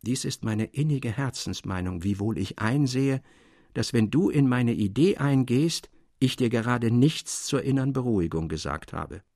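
A man reading a literary tale as scripted narration, in an expressive, measured storytelling manner. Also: mid-range voice, dry recording, studio quality. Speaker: audiobook reader. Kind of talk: literary scripted narration for German public radio.

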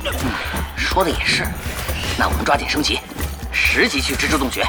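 A man's voice: fairly high pitch, animated, normal speed, over loud music, lightly acted. A man playing a petty, vengeful, hot-headed young guild gamer in a radio drama, indignant and huffy in manner. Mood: commanding. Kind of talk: animated radio drama dialogue.

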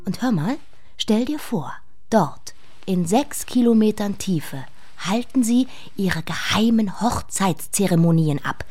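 An actress playing an eager, headstrong woman full of wonder in a radio drama, speaking with excited emphasis. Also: medium pitch, slow.

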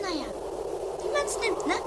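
A woman playing a contrary, excitable, bossy eccentric sister in a trashy comedy radio play. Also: very high voice, moderate pace. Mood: subdued. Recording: very noisy amateur 1980s radio play.

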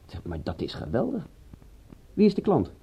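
A man's voice: fairly high pitch, wildly swooping pitch, normal speed, warm tone, husky, exaggerated voice. A man playing a shifty, flustered small-time private detective in a radio play, talking in a hesitant, fussy way and repeating himself. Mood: eager. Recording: muffled old radio-play recording.

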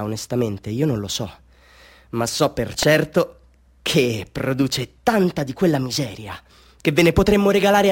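An actor playing a chatty, self-mocking Bolivian street photographer hustling tourists, with ingratiating, mock-grand patter in a theatrical radio monologue. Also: male voice, on the high side. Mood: showy, hustling. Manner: showman patter, ingratiating, mock-grand, swinging into wry asides.

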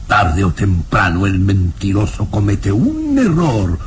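An actor playing a menacing, mocking baron who interrogates slowly and insinuatingly in a radio drama. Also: male voice, lowish voice, sing-song. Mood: cold, insinuating. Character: menacing, mocking baron, father of a grown daughter. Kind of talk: radionovela acting. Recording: noisy old radio drama recording.

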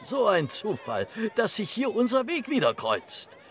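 An actor playing a scheming detective who speaks with fawning, ingratiating politeness. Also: male voice, high-pitched, wildly swooping pitch, a little slow, fairly bright tone, heavily acted. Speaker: Detective Fix.

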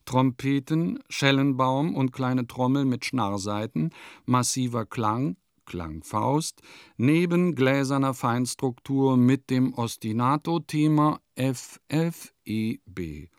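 An actor playing a vain, eccentric composer who muses theatrically and pompously in a radio play monologue. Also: male voice, mid-range voice, animated, slow pace, smooth, no room sound, studio quality. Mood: grandiose, self-satisfied. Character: vain, eccentric male composer musing in his diary.

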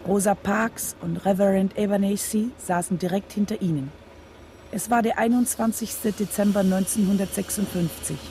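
An actress playing a reflective wife who narrates her husband's struggle in a radio play, with a husky, mellow voice. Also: medium pitch, normal speed, a little hiss or hum, lightly acted. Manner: reflective, storytelling.

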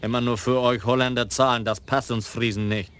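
An actor playing a calm, tall Frisian police lieutenant in a radio crime drama, slow and plainspoken. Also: male voice, medium pitch, smooth, lightly acted. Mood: stubborn.

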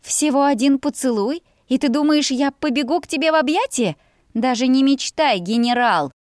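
A woman voicing a proud, fiery young heroine, sharp, scolding and defiant, in an audiobook story. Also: high-pitched, animated, a little slow, no echo, clean studio sound, pitched above the performer's own voice. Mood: indignant.

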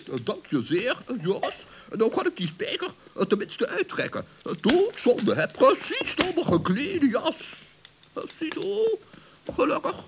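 A bumbling old professor from a children's radio play. He is courteous and fussy, creaky in voice, and stumbles hesitantly through each phrase with great dignity. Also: very high voice, wildly swooping pitch, a little hiss or hum, pitched above the performer's own voice, heavily acted. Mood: halting, sheepish. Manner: halting, fussy, full of hesitant "eh".

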